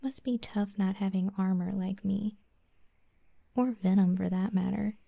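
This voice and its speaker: Woman playing a slow, whispering, aloof scorpion girl. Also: mid-range voice, fairly even intonation, no echo. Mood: smug, teasing. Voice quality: soft, breathy whisper. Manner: teasing, aloof, slangy, begrudging. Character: brash, begrudgingly kind tsundere scorpion girl, young monster woman. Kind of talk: whispered ASMR audio roleplay. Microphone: close, dry ASMR microphone.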